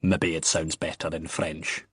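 Man playing a low, husky young Scotsman. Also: moderate pace, dry recording, clean studio sound. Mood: wry. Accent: Scottish. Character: guarded, wry, grieving young Scottish man.

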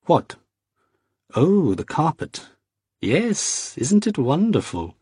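A man voicing an eager, flustered young French nobleman who speaks with bright excitement. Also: a little high, takes it slowly, husky, dry recording, studio quality, pitched above the performer's own voice, full character voice.

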